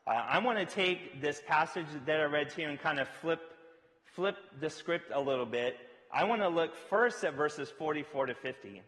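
A fairly high-pitched man preaches with a loud voice in a relaxed, chatty way, full of fillers and playful quoted asides. His voice carries long reverb from a church hall. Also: moderate pace. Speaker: pastor. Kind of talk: sermon.

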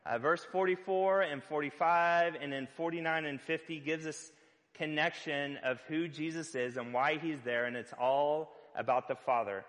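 A man preaching a sermon as pastor, loud-voiced and conversational, with filler words and playful asides. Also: fairly high pitch, fairly even intonation, normal speed, big-room sound.

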